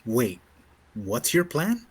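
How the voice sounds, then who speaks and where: A man's voice: mid-range voice, big pitch swings, slow pace, breathy edge, heavily acted. A man playing a stern, weary rebel major in a sci-fi table read.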